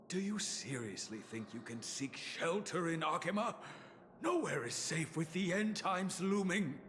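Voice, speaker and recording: An actor playing a proud, fatalistic priest leader in a video game, speaking in a grave, formal, declamatory way. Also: male voice, on the high side, fairly bright tone, big-room sound, a little hiss or hum, full character voice. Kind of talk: dramatic video game voice acting.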